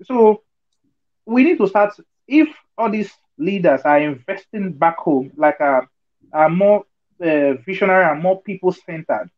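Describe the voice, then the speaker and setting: A man makes his case over a video call in a fairly high voice, earnest and argumentative, often stopping to ask if his listeners follow. The sound is dull and lo-fi, like compressed online call audio. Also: expressive, unhurried. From Nigeria. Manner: earnest, argumentative, many fillers and rhetorical checks. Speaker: discussion participant. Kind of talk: online group discussion.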